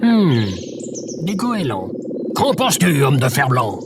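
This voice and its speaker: A man's voice: fairly high pitch, very animated, slow, very noisy. A man playing eccentric, pompous scarecrow and tin-man characters, musing theatrically in a scripted audio drama. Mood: pondering, grand. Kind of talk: scripted audio fiction acting.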